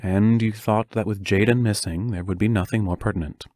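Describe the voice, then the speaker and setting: Man playing a low-voiced, measured schoolteacher. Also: steady tone, warm tone, no echo, studio quality, lightly acted. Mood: grave, cautious. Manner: measured, formal, careful phrasing. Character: measured, protective male schoolteacher, serious and cautious. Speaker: actor in an audio play. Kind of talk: audio drama acting.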